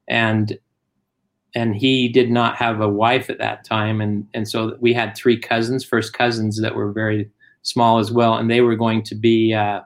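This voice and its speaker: A man from Germany raised in Utah, a guest in a casual interview, telling family stories plainly with frequent pauses. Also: fairly low pitch. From born in Germany, raised in Utah.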